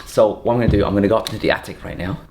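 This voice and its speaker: A man's voice: on the low side, steady tone, racing, darkish tone. A man casually narrating hands-on home renovation, thinking aloud as he works. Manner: unscripted, thinking aloud while working. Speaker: host of a home renovation vlog. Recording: noisy home recording with clicks.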